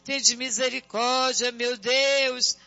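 A man from Brazil leads a fervent prayer in a very high, piercingly bright voice. He builds rhythmic chains of pleas and lets each call to the Lord sink low and quiet. The recording is dull and lo-fi. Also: steady tone, takes it slowly, no room sound. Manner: rhythmic repeated invocations, dropping low and soft on each "Lord". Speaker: prayer leader.

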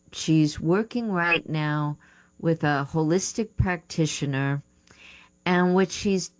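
Female radio coach, low and slow, muses aloud and second-guesses the details as she answers letters. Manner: thinking aloud, unsure of terms, self-correcting. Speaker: writing coach and radio host. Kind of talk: advice show talk, reading listener letters.

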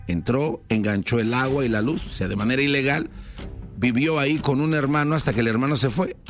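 A Mexican man narrates true crime as if explaining it to a listener. His voice sits at a medium pitch. The delivery is informal, with conversational asides, and music plays behind it. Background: background music.

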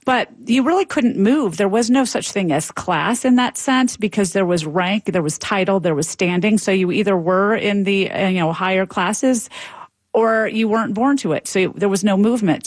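A woman's voice: medium pitch, animated, normal speed, dry recording. A woman professor speaking as an expert guest, explaining in a conversational interview.

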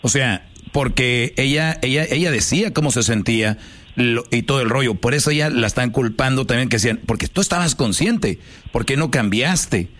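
A man's voice: mid-range voice, moderate pace, a bit bright. Male talk host for a phone-in program: opinionated, informal, street-slangy and direct, ready to push back. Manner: blunt, confrontational, slangy. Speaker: talk show host taking calls.

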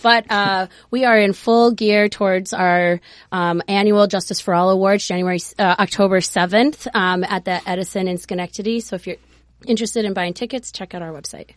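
A woman speaking as a guest on a radio panel, hoarse and strained because she has lost her voice. She talks in a friendly way, and the studio sound is close and dry. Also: medium pitch, fairly even intonation, normal speed, dry recording. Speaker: panel guest, nonprofit director.

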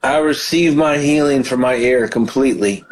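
A man's voice: medium pitch, normal speed, a little hiss or hum. A man hosting a call-in prayer show as a pastor, praying fervently over callers. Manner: fervent praying, frequent hallelujahs. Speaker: radio ministry host and pastor.

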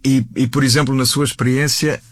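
A man's voice: mid-range voice, flat delivery, fairly quick, no room sound. A Portuguese man with a European Portuguese accent who interviews a guest for the radio, hesitating and restarting often.

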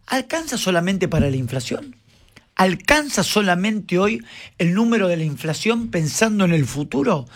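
A man with an Argentine accent hosting a talk radio show, playful and teasing, firing off rapid questions. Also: high voice, very animated. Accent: Argentine Spanish. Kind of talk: casual talk radio banter.

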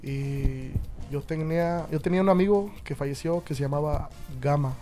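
A man in his twenties from Indianapolis, a band's lead singer, talking in an excited, slangy way in an interview. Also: a little high, normal speed, soft background music. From Indianapolis, USA. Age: 20s. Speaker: band singer. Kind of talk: casual interview.

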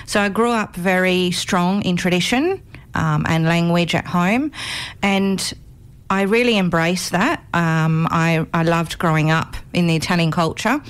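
Earnest woman, low-ish voice. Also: clear, resonant tone. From Australia. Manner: earnest, measured, frequent ums. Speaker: guest, commission chair. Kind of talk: radio interview.

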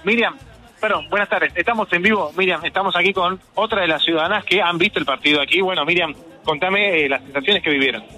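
A man's voice: high voice, quick pace, bright, forceful tone, rough recording. A man reporting live for radio from a community center, talking fluently and descriptively and staying polite with the people he interviews. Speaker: field reporter. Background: music playing in the background.

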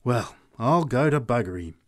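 A man's voice: mid-range voice, moderate pace, voice pushed up, close to an everyday voice. A man voicing a scruffy, argumentative drunk in a storybook, heckling sarcastically. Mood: sarcastic. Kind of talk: dramatised storybook reading.

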